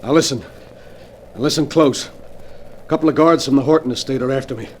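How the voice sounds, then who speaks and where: A man's voice: medium pitch, fairly quick, a little mellow, slight background noise, natural voice. An actor playing a ruthless, hunted male crook in a 1940s radio drama, talking in a hard-boiled gangster way. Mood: menacing.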